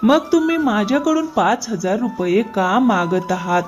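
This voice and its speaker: A woman voicing an earnest, polite young male farmer in an animated moral story. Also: mid-range voice, unhurried, soft background music, natural voice.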